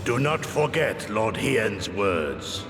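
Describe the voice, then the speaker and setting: An actor playing a stern, principled old samurai warrior in a video game, with a gravelly voice and theatrical, archaic delivery. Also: male voice, lowish voice, big pitch swings, takes it slowly. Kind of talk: dramatic video game voice acting. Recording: echoey hall-like reverb under music.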